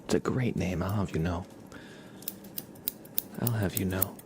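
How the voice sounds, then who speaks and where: Deep voiced guy doing live asmr, soft and playful, half whispered, noisy background w scissor sounds. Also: unhurried. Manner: soft-spoken, playful, slips between murmur and whisper. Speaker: ASMR livestreamer. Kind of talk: ASMR live chat with roleplay, partly whispered.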